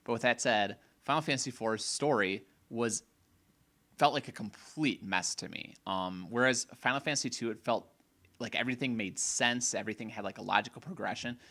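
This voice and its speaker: A man co-hosting a casual gaming podcast, opinionated and rambling, a little hoarse, often restarting his sentences. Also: medium pitch, fairly quick, bright, forceful tone. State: slightly hoarse. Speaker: podcast co-host.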